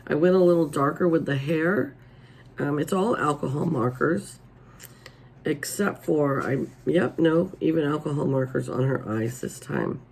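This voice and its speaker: A raspy-voiced middle-aged woman hosting a casual show-and-tell, chatty and homey, filmed at her table at home. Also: low-pitched, measured pace, a little mellow, low-quality recording. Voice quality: raspy today. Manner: chatty and homey, many ums. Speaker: coloring channel host. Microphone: overhead camera over the table.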